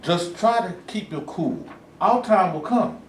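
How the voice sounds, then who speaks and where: A man plays a firm reverend in a stage drama, admonishing with emphasis. His high voice swings widely, heard far from the mic with strong echo. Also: lively intonation, a little slow. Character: cautioning, firm civil rights reverend urging patience. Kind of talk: staged play reading. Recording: echoey, noisy stage recording from far off.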